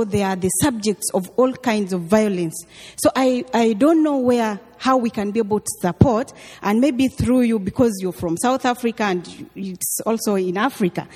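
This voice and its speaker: A woman's voice: medium pitch, a little mellow. Brief: Ugandan woman, audience member putting a question at a talk, earnest and explanatory, echoing hall sound. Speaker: audience member, visiting scholar. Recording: echoey hall with long reverb.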